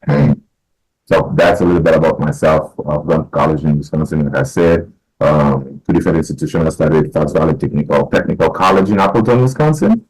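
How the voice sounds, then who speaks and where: Deep-voiced middle-aged podcast guest from Haiti; measured, thoughtful, pauses often. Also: male voice, a little mellow, breathy edge, low-quality recording. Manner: measured, many fillers and restarts. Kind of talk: casual interview talk.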